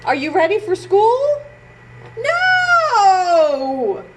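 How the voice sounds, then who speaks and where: A woman's voice: extremely high pitch, drawn-out words. A woman presenting a library storytime for children, playfully talking, reading aloud and singing straight to her young audience, with noise in the recording. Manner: animated, playful, talks directly to young children. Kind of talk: children's storytime with read-aloud and sing-along.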